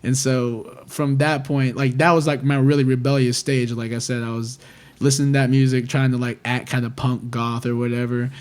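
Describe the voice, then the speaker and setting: A man's voice: medium pitch, flat delivery, moderate pace, a little mellow. A man in his twenties, a guest on a podcast, chatting casually and rambling with lots of "like" fillers. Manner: rambling, heavy use of "like" fillers, talkative. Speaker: record label manager, guest.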